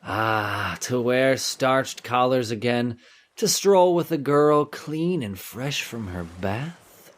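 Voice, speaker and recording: A man's voice: mid-range voice, slow. Man acting giddy, swooping, cowardly convict forger. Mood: dreamy, admiring. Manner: excitable, gushing, taunting, prone to cackling. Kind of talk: old-time radio horror drama acting.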